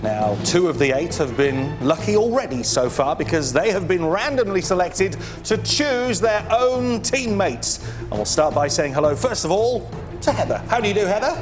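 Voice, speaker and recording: A man's voice: sits high, expressive, moderate pace. A man hosting a TV quiz show in an upbeat, bantering presenter's style. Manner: jocular, bantering with contestants. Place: TV studio with audience. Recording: loud music and audience behind the voice.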